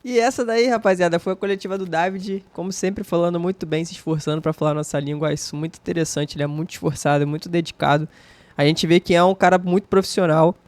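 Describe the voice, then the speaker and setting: Brazilian guy doing a slangy reaction chat, kinda high voice, dry close mic. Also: fairly even intonation, fast, darkish tone, no echo. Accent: Brazilian Portuguese. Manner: chatty, slangy, enthusiastic praise. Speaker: fan channel host. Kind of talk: casual reaction commentary.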